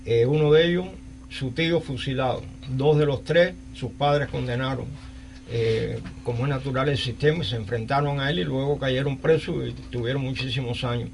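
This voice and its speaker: An older man with a Cuban accent hosting a live radio talk show, speaking haltingly and repeating phrases. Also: medium pitch, steady tone, creaky. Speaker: radio host. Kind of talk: live call-in talk, recounting and interviewing.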